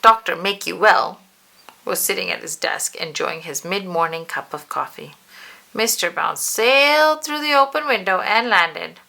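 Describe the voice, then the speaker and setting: Female storybook reader: bright, slightly gravelly edge, animated delivery with drawn-out emphasis, speaks straight to the child. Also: medium pitch. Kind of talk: expressive children's read-aloud narration.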